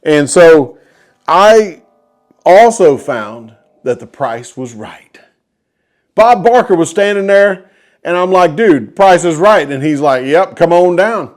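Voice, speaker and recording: Folksy American man, higher-pitched voice. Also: lively intonation, slow pace. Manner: folksy, enthusiastic. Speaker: host of a guitar storytelling video series. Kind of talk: casual storytelling to viewers.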